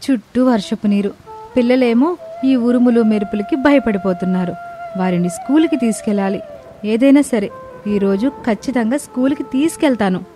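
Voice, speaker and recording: A woman's voice: fairly high pitch, moderate pace, warm tone, soft background music, close to an everyday voice. A woman voicing a caring but strict young mother in a dramatized family story. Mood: worried, determined.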